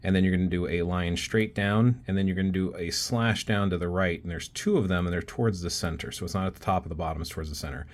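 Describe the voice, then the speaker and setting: Man with a low voice, casual tutorial, explains while drawing, thinks aloud. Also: fairly even intonation, quick pace, hardly any pauses. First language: not Icelandic. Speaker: self-taught rune hobbyist teaching.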